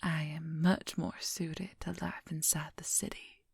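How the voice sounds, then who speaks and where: A woman acts out a possessive young werewolf woman in an ASMR role-play. She whispers very slowly and intimately, with a husky, breathy voice that crackles with heavy fry. The sound is close and dry. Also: low voice, flat delivery, no room sound, full character voice. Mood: confiding, wistful.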